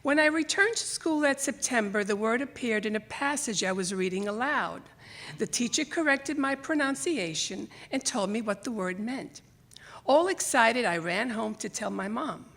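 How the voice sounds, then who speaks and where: Older woman, slightly high voice, expressive storyteller, scripted memoir reading, live stage delivery. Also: normal speed, creaky. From Greece, emigrated to the United States as a child. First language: Greek or Italian. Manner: expressive, acts out remembered dialogue. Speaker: writer reading own memoir essay. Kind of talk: scripted personal storytelling read aloud to a live audience. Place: library theater stage, live event.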